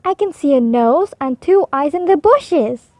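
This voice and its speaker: An excitable big hen from a children's storybook, acted out by a woman. She exclaims in alarm at a very high pitch that swoops widely, in dramatic, unhurried phrases. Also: no echo. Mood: alarmed, insistent. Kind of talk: children's storybook read-aloud.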